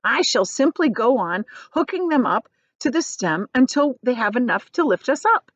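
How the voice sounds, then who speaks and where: A determined young storybook boy, played by a woman reader, earnest and sure of himself. The voice is high and very lively in pitch, captured close and dry. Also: normal speed, no echo, muffled recording. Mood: calm, confident. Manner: earnest, confident, explanatory. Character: calm, determined young boy explaining his plan. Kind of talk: children's storybook character reading.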